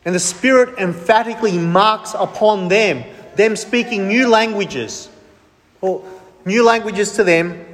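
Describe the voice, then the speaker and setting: High-voiced preacher in an echoey hall. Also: male voice, unhurried, fairly bright tone. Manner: emphatic, asks the congregation questions and answers them himself. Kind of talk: sermon.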